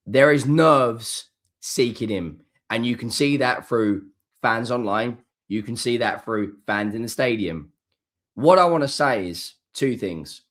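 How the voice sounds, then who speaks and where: A hoarse man hosts a solo football reaction, talking emphatically and often repeating key words for stress. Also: on the low side. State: hoarse, coming down with flu. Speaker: football channel host. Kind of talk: solo post-match reaction.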